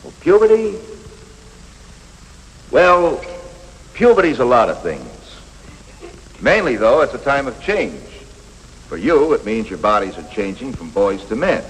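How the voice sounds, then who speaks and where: A man's voice: medium pitch, very animated, a little slow, long pauses, slightly rough. An actor playing a patient, matter-of-fact instructor of boys in a 1950s educational film, an American man who explains things plainly and reassuringly. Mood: patient, explanatory. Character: patient, matter-of-fact male coach teaching adolescent boys. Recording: noisy, reverberant 1950s film soundtrack.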